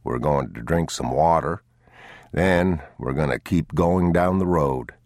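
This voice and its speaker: A man voicing a weary, stern but protective father with terse, hushed commands, in an audiobook story. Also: extremely low pitch, measured pace, no room sound, studio quality. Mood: resigned, steady.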